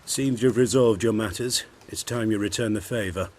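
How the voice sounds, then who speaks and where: An actor playing a stern, commanding royal agent in a video game, formal and authoritative. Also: male voice, fairly low pitch, moderate pace, a little mellow, a little hiss or hum, lightly acted.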